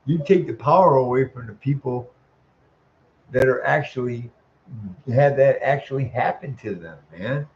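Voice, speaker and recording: A man ranting in a profane monologue, ending sentences with "man," chuckling, and stalling on drawn-out "uh" sounds. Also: mid-range voice, muffled recording. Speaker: vlogger.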